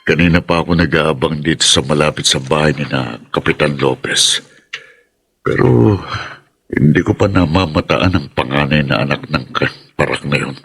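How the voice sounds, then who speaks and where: A man's voice: rumbling low, moderate pace, a bit bright, husky, no room sound, heavily acted. An actor playing a murderous, gloating henchman of a crime boss in a radio drama. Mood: watchful, scheming.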